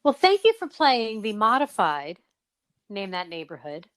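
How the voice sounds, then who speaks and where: A woman's voice: mid-range voice, sing-song, a little slow, a bit bright, no echo. A woman hosting a podcast in a casual, chatty and playful way, with exclamations as she runs quizzes and interviews. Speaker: podcast host.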